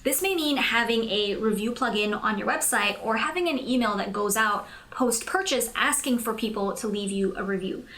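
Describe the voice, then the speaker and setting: A young woman from Malaysia hosting a tutorial, speaking in a scripted but conversational, chatty and explanatory way with clear emphasis. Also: on the high side, normal speed, hardly any pauses, a bit bright. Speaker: host of a business tutorial channel.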